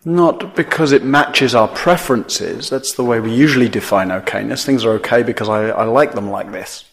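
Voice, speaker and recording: A man teaching a guided meditation in measured phrases, with long pauses between them. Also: mid-range voice, creaky.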